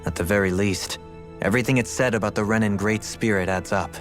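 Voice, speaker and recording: A man playing a composed, scholarly nobleman companion in a video game, speaking in a measured, eloquent, formal way. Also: lowish voice, faint music behind, close to an everyday voice. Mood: thoughtful. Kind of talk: video game cutscene acting.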